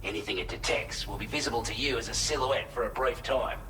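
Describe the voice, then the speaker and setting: An actor with an Australian accent playing a plain-spoken, earnest shelter resident briefing a courier in a video game. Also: male voice, medium pitch, fast, pushed and bright, faint music behind, close to an everyday voice. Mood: helpful, matter-of-fact. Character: matter-of-fact male shelter resident, allied quartermaster briefing a courier. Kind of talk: video game cutscene dialogue, mission briefing.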